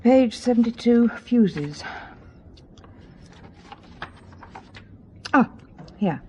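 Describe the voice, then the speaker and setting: Casting: timid, polite female secretary in radio thriller; high-pitched, deferential, inquisitive, faint background noise. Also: animated, takes it slowly, darkish tone. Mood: attentive. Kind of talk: radio spy thriller acting.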